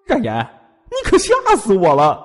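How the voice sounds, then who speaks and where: An actor playing an excitable, exasperated young man in an audiobook drama, exclaiming dramatically. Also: high-pitched, moderate pace, long reverb tail, faint music behind. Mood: shaken, incredulous.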